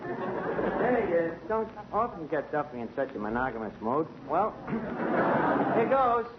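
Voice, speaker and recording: A man's voice: fairly high pitch, a little slow. A man playing a wisecracking tavern manager in a 1940s radio comedy, full of malapropisms. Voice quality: lively, wisecracking, malaprop-prone. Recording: muffled, hissy 1940 radio recording.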